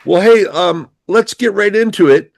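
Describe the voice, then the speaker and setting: A man's voice: high voice, unhurried, vocal fry, dry recording. A man hosting an interview show, upbeat and introducing his guest with frequent "uh" fillers. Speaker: show host.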